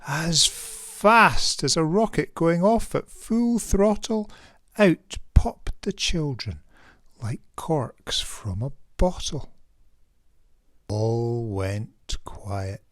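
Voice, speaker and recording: Man, children's storybook narrator, slow, measured, theatrical, emphatic rhyming delivery, dry close sound. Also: mid-range voice, no echo. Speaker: children's author reading own picture book.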